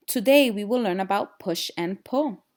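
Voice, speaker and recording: A woman voicing an encouraging female science teacher in a storybook, giving clear classroom instructions. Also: medium pitch, lively intonation, moderate pace, lightly acted.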